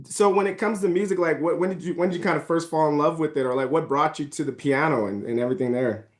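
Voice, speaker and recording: A man hosting a podcast interview, talking in a relaxed, casual way with many fillers. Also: a little high, fast. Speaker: podcast host.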